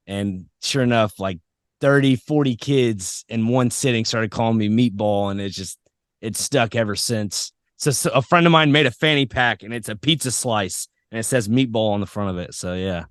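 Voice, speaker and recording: A man's voice: fairly low pitch, moderate pace, fairly bright tone, clear, resonant tone, no room sound. Casual male podcast host, dry close sound.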